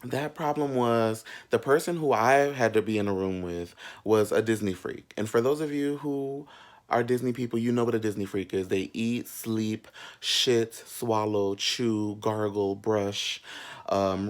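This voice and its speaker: Sassy, chatty American man telling stories. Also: on the low side. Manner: sassy, gossipy, slangy and playful. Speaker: vlog host. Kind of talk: casual chatty storytelling to viewers.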